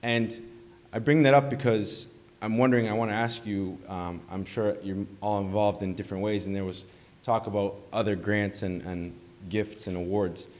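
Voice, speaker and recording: Male audience questioner, low-pitched and halting, recorded in a reverberant conference hall. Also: steady tone, a little mellow. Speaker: audience member asking a question. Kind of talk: extended question at a panel discussion.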